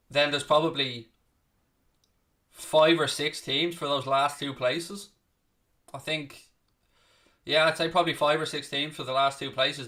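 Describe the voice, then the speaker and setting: A man's voice: medium pitch, pushed and bright. Chatty Dublin Irishman calling a match. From Ireland. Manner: chatty, blunt, answers viewer questions between play-by-play calls, occasional swearing. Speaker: host of a live football watchalong. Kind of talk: casual live match commentary and chat with viewers.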